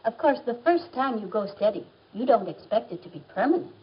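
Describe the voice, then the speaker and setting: A reasonable middle-aged mom in a 1950s classroom film. Her voice is soft-edged and husky as she explains things calmly. The old soundtrack is muffled. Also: a little high, a little fast, heavily acted. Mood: gentle, advising. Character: calm, reasonable middle-aged mother advising her son. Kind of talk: 1950s educational film acting.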